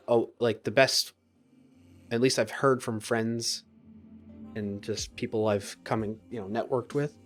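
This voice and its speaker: A man streaming live code who thinks aloud in a casual way. He talks to viewers between tasks and often trails off and restarts his sentences. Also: fairly low pitch. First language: English. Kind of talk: live coding stream chat, reading viewer comments aloud.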